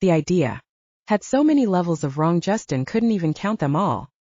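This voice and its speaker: A woman's voice: lowish voice, big pitch swings, normal speed, mellow. A woman reading scripted fiction as an audiobook narrator. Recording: roomy, dull lo-fi audio.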